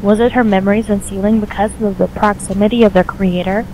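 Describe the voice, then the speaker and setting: A woman's voice: mid-range voice, fairly even intonation, quick pace, a little mellow, slight background noise, rough recording. A woman reading scripted story narration as the narrator of an audio drama.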